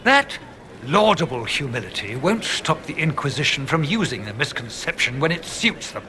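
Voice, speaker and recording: An actor playing a haughty, sceptical church chancellor in a fantasy game, speaking in a pompous, biting, indignant manner. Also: male voice, on the high side, sing-song, moderate pace, noisy background. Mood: scornful. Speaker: game character. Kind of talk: fantasy video game voice acting.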